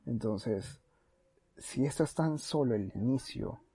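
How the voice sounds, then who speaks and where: Guy on a podcast, soft dark tone, dry sound, stumbles n restarts a bit, casual. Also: medium pitch, expressive, takes it slowly, husky, no echo. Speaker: podcast co-host.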